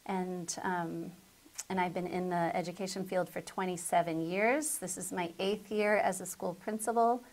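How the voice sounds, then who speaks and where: A woman's voice: on the low side, steady tone, moderate pace, low-quality recording. A middle-aged American woman from southern Connecticut, a school principal answering interview questions conversationally. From southern Connecticut, United States.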